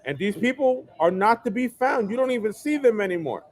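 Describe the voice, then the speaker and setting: A man's voice: high voice, big pitch swings, brisk, no room sound. A man hosting a solo livestream, delivering an emphatic, repetitive rant that hammers the same phrases. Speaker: livestream host. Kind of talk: ranting solo livestream monologue. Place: home setup, New York.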